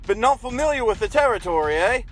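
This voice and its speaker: A man's voice: very high voice, expressive, fast, fairly bright tone, soft background music. A man playing a friendly, chatty local in an audio drama. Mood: breezy.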